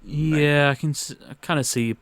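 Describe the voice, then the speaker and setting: A man's voice: fairly high pitch, moderate pace, fairly bright tone, dry recording. A man giving his opinions in casual podcast chat, thinking aloud and rephrasing as he goes. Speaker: podcast panellist.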